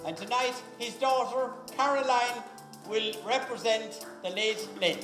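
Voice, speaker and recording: A man's voice: very high-pitched, unhurried. An Irish man presenting at a live event, calling out names with emphatic, ceremonial build-up. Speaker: presenter announcing award recipients at an event. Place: large echoey event hall. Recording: echoey hall PA, loud music and crowd. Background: loud music and crowd noise.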